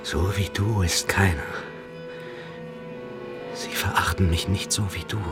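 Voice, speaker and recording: An actor playing a timid, lovesick boarding-school boy in a radio drama, breathy and half-whispered, hushed and imploring. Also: low voice, fairly even intonation, measured pace. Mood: tender, pleading. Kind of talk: intimate radio drama acting. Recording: music loud behind the voice. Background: music.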